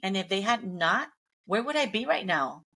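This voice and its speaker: Earnest, bright-voiced woman guest. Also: mid-range voice, expressive, moderate pace. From Mexican family, grew up in Los Angeles. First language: Spanish. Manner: earnest, upbeat, motivational. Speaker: guest, speaker and entrepreneur. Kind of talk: casual interview.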